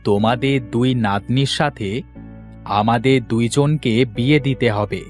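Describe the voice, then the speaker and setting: A man's voice: mid-range voice, unhurried, close to an everyday voice. A man voicing an eager, bargaining talking frog in a cartoon fairy tale. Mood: insistent. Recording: music under the voice.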